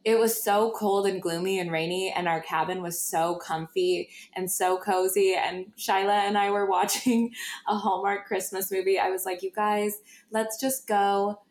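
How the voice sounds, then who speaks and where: A woman's voice: medium pitch, steady tone, normal speed, a little mellow. Woman podcast host chatting away, super gushy and emphatic, kinda roomy echoey audio. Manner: gushing, emphatic, conversational. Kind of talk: casual podcast storytelling.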